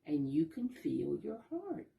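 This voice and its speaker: A woman's voice: deep voice, huge pitch swings, takes it slowly, gravelly, dark tone, reverberant. A woman storyteller talking to young children, patiently explaining in simple words and asking them questions. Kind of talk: children's read-aloud lesson, addressing young listeners.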